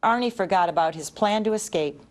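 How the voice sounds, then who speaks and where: A woman narrating a children's story as an expressive read-aloud. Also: medium pitch, brisk. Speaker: storybook reader.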